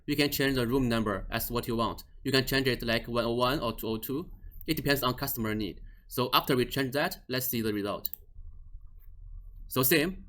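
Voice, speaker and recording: A man's voice: mid-range voice, a bit bright. A man explaining a device setup step by step in a screen-recorded tutorial, with frequent restarts and slightly non-native phrasing. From Australia or New Zealand region office. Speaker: product trainer.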